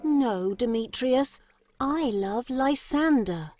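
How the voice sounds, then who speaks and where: A woman voicing an earnest, lovelorn young woman in a children's cartoon, speaking slowly and expressively. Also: high-pitched, dry recording, faint music behind, full character voice. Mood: insistent. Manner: slow, simple, expressive delivery. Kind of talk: children's cartoon story acting.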